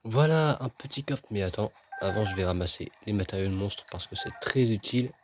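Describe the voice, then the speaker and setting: A man's voice: lowish voice, lively intonation, brisk, background noise. A man casually commenting as he plays a video game, joking and chatting with himself and his viewers. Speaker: gaming video host. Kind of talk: casual let's-play commentary.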